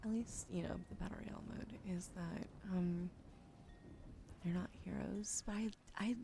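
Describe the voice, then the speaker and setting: A sleepy woman streaming ASMR with a sore throat, switching between soft whispers and casual chat about games into a close microphone. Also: on the low side, fairly even intonation, a little slow, creaky. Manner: soft whispering between chatty gaming talk. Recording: noisy stream audio.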